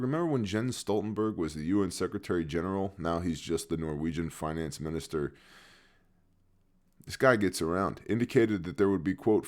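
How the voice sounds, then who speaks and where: Very deep-voiced man with dry sarcastic asides. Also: a little mellow. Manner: dry sarcastic asides between read news items. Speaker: news commentary host. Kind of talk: news briefing with sarcastic asides.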